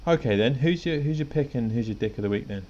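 A man's voice: mid-range voice, lively intonation, quick pace, a little mellow, highs cut off. A man co-hosting a podcast in a laid-back, rambling casual chat, often chuckling. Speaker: podcast co-host.

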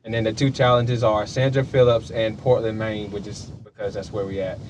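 A man's voice: fairly low pitch, little pitch movement, brisk, darkish tone, slight background noise, rough recording. A man in his late twenties hosting a podcast in casual conversation. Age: late 20s. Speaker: podcast host. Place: podcast studio.

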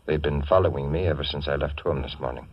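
An actor playing a courteous, secretive Greek immigrant in a 1940s radio drama, speaking in a polite, earnest and slightly hesitant way. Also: male voice, rumbling low, quick pace, husky, dry recording. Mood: earnest, confiding. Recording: muffled vintage radio recording.

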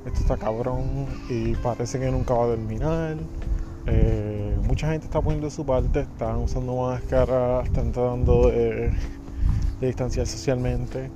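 A man's voice: medium pitch, fairly even intonation, unhurried, dull audio, music in the background. A man hosting a casual solo podcast, talking bluntly and swearing freely, with frequent restarts. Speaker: podcast host. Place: United States.

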